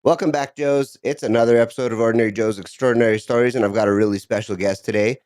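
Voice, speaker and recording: A man's voice: mid-range voice, fairly even intonation, fast talker, a little mellow, faint music behind. A man hosting a podcast, reading a scripted intro and then chatting in an upbeat, encouraging way. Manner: upbeat, encouraging, laughs often. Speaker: podcast host.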